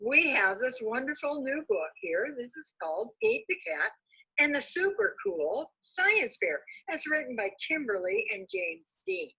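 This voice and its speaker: A woman's voice: sits high, big pitch swings, measured pace, gravelly, sharp tone. A woman librarian reading a children's storybook aloud expressively, on dull lo-fi audio.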